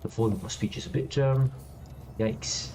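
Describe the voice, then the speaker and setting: A man streaming a space game, chatting loosely with many fillers and restarts, laughing now and then. He has a loud voice. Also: medium pitch, steady tone, normal speed, a little hiss or hum. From Europe. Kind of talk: casual gameplay commentary. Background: quiet game music.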